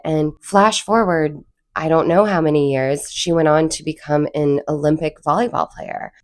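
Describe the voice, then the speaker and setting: A woman hosts her own video channel in a deep, low register, her voice froggy and congested from a cold. She tells stories casually and chattily, drifting into little asides and laughing at herself. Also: darkish tone. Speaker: channel host. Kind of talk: casual storytelling vlog.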